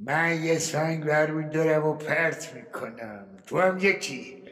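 A man's voice: a little high, a bit bright, close to an everyday voice. An actor playing a blustering but easily frightened giant demon father in a children's radio play, theatrical and exclamatory. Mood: boastful. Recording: echoey hall-like reverb.

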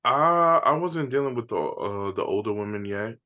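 A man in his early thirties with a bright, sharp tone, co-hosting a casual podcast. He is laid-back and self-mocking and laughs easily, and his pitch swings widely as he talks. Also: animated, normal speed. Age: 30s. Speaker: podcast co-host. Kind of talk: casual chat.

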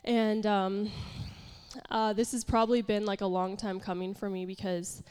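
A woman's voice: medium pitch, level tone, moderate pace, a little mellow, clear, resonant tone. Congested, nasal woman preaching in a hall. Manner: candid, self-deprecating, chatty with many fillers. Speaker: pastor and worship leader. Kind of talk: informal sermon. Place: church hall.

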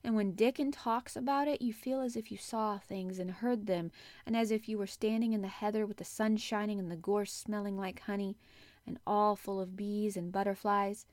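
A woman's voice: medium pitch, steady tone, moderate pace, darkish tone, dry recording, close to an everyday voice. Storybook role: outspoken little girl, read by a woman, obstinate yet enthusiastic, frank delivery, dry studio-like closeness. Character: earnest, stubborn, outspoken young girl. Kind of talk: audiobook character acting.

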